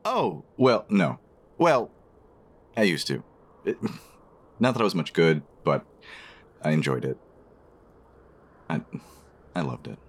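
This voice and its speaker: An actor playing a shy, smitten diner customer in a romance audio drama, speaking in a hesitant, bashful way. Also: male voice, deep voice, sing-song, slow, pauses often, slightly rough, full character voice. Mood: wistful.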